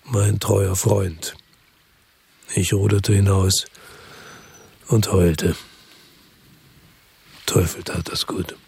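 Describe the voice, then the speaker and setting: An actor playing a world-weary, brooding middle-aged man who narrates a radio crime drama in terse phrases, his voice husky and gravelly. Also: deep voice, flat delivery, drawn-out words, long pauses, darkish tone, full character voice. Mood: weary, tender.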